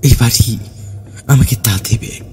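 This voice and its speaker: A man's voice: medium pitch, unhurried, a little mellow, slight background noise, voice pushed down, close to an everyday voice. A man voicing a self-assured, obsessed young man who explains earnestly and persuasively in an audio horror story. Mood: fervent.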